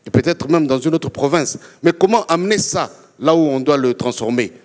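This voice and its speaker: A man's voice: on the high side, animated, normal speed. A man from DR Congo speaking as an entrepreneur on a conference panel, making an impassioned case. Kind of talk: impassioned panel remarks in a public forum. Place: large conference hall. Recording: reverberant conference hall.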